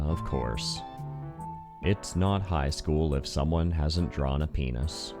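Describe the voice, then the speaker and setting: Casting: male narrator for a visual novel, extremely low voice, introspective and wry, with music clearly underneath. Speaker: narrator and voice actor. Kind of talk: scripted first-person visual novel narration.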